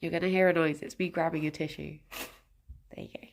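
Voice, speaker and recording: A woman's voice: low voice, fairly even intonation, a little fast, vocal fry. A woman with a stuffed-up nose, chatting casually with her live chat, greeting viewers by name and giggling often. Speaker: streamer.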